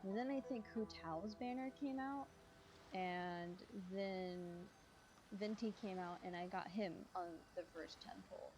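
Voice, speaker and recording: A woman's voice: mid-range voice, slow, noisy background. A young adult woman giving casual, rambling commentary as she streams a game. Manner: chatty, rambling.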